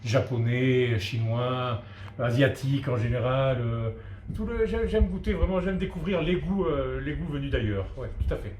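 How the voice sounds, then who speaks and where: Middle-aged man, restaurant cook, casual hesitant answers, medium pitch, echoey room. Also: animated, moderate pace, a little mellow. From France. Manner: hesitant, repeats words while searching for phrasing. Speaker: restaurant cook and interview guest. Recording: echoey room with faint noise.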